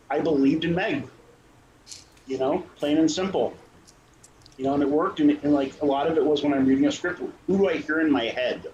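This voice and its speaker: A man's voice: medium pitch, darkish tone. A man speaking casually on a panel as a director, rambling and often rephrasing himself. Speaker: radio play director and troupe leader. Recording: roomy dull sound with faint noise.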